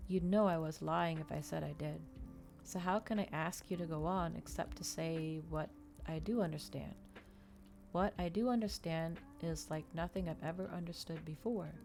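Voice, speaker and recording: A woman's voice: deep voice, moderate pace, vocal fry, darkish tone, soft background music. Woman reading as nervous, earnest young man.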